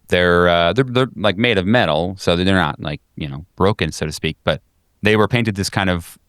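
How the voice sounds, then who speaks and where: A man narrating a tabletop horror game as game master, improvising in a conversational, halting, descriptive way. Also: low-pitched, fairly bright tone, smooth, dry recording, studio quality.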